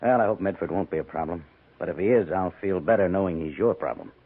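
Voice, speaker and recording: An actor playing a wary, wry army major in command of a fort, in 1950s radio western style, with a husky voice. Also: male voice, deep voice, fast talker. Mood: wry, cautious. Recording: tinny 1950s radio broadcast recording.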